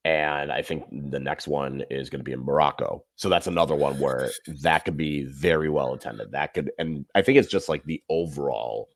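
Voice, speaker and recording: A man appearing as a guest on a soccer podcast, talking in a thoughtful, measured way and restating his points as he goes. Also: extremely low pitch. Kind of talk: casual soccer podcast chat.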